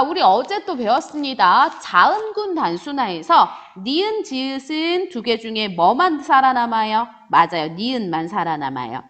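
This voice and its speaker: Female grammar teacher, high and animated, drawls example words slowly for students. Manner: lively teacher voice, answers imagined student questions, drawn-out example words. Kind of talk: online grammar lecture.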